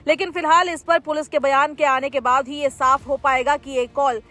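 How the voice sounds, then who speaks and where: A woman's voice: sits high, steady tone, normal speed, bright, forceful tone. A woman news presenter delivering a scripted news report as narration. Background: background music.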